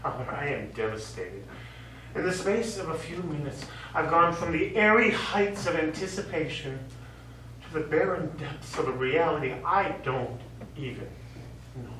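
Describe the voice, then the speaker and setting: A man's voice: on the high side, animated, moderate pace, a bit bright. A man on stage playing an earnest, devastated former soldier who is lovelorn. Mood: wounded, devastated. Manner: earnest, wounded. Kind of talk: stage play acting. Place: theatre studio stage. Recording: echoey stage, distant mic, noisy.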